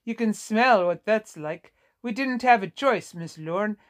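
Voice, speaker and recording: Audiobook nurse role, acted by a woman: Vietnamese-accented woman, raised pitch, folksy, weary, apologetic and emphatic. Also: animated, unhurried, natural voice. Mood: regretful, strained. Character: short Vietnamese woman nurse, sorrowful, weary, plain-spoken. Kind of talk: audiobook character dialogue.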